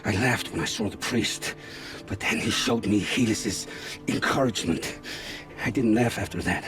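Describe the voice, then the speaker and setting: A man playing a guilt-ridden scavenger scout in a video game, speaking gravely and with haunted, poetic phrasing. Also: fairly low pitch, normal speed, fairly bright tone, close to an everyday voice. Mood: bitter, regretful. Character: guilt-ridden male scavenger scout, forced informant, begging for family. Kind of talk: dramatic video game acting. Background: background music.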